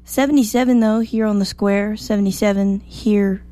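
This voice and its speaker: A woman hosting a morning radio show, slightly hoarse, chatty and upbeat as she reads the weather. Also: medium pitch, steady tone, moderate pace, dark tone, no room sound. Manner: chatty, upbeat, self-joking. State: a little hoarse.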